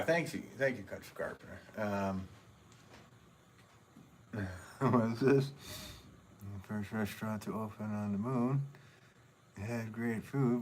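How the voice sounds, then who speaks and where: A man potter hosting a casual livestream from his studio, rambling through viewer comments with lots of ums and easy laughs. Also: fairly low pitch. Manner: rambling, chatty, laughs often, many ums.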